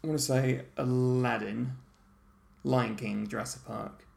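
Guy chatting on a movie podcast, bit of fry, joking around. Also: mid-range voice, steady tone, unhurried, a little mellow. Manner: laughing, joking banter. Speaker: podcast co-host. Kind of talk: casual chat. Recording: echoey room with music underneath.

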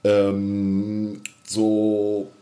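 Male podcast co-host, casual chat, halting and roundabout with repeated phrases. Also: fairly low pitch, completely flat delivery, mellow. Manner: hesitant, roundabout, repeats himself. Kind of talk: casual sci-fi fan chat.

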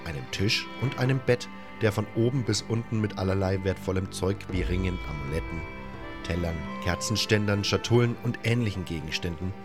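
Lowish-voiced man narrating an audiobook. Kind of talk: scripted first-person story narration.

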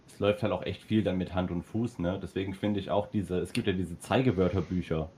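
Man, lowish warm voice, casual rambling talker, faint rumble behind. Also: steady tone, a little fast. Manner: enthusiastic, rambling, many fillers. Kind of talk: casual chat.

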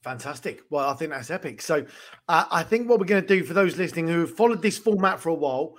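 A man's voice: fairly high pitch, animated, fast. A man hosting a podcast interview, enthusiastic and rambling, often laughing. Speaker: podcast host. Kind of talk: conversational interviewing.

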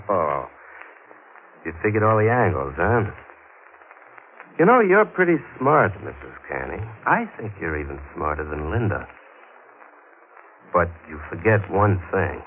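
A menacing killer on the run in a vintage radio thriller. His low voice swings widely as he taunts with mock politeness and deliberate control. It comes through a scratchy, narrow old recording. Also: sing-song, exaggerated voice. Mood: sardonic.

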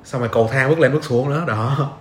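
A man speaks quickly as the host of a casual interview. His pitch is middling for a man and his phrasing is informal, full of little check-in questions. The room adds clear echo. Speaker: podcast host. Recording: roomy echo, faint background noise.

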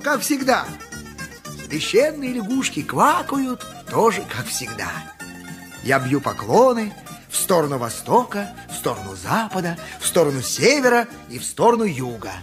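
A grumbling, pious donkey driver from a theatrical radio play. His voice is very high for a man, bright and swinging widely in pitch. He blusters and haggles like an aggrieved peasant. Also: exaggerated voice.

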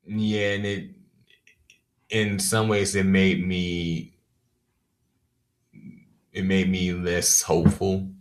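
Man, very deep pitch, reflective podcast co-host, calm measured delivery. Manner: measured, reflective, gives advice. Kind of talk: casual reflective chat.

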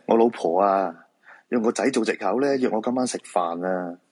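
A reluctant fortune-teller in a radio drama, acted by a man, brusque and exasperated. His voice is low and quite husky, with a Hong Kong Cantonese accent and a dismissive, protesting manner. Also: moderate pace. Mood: wry, teasing. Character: reluctant male feng shui fortune-teller, married father, exasperated.

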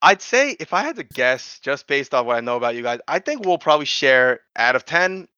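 A man in his thirties hosting a casual podcast chat, rambling and animated with lots of fillers. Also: medium pitch, fairly quick, clean tone, no echo, dull audio. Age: 30s. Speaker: podcast host.

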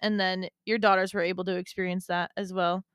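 A woman's voice: on the low side, level tone, a little fast, clean tone, no room sound, studio quality. A woman hosting a casual interview, chiming in often with short, affirming interjections. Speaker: interviewer, host. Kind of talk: casual supportive interview chat.

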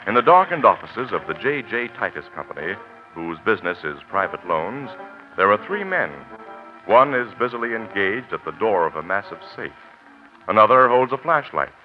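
A man announcing and narrating a 1940s radio show in a polished, dramatic, deliberate delivery, on a muffled old recording. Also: lowish voice, big pitch swings, faint music behind.

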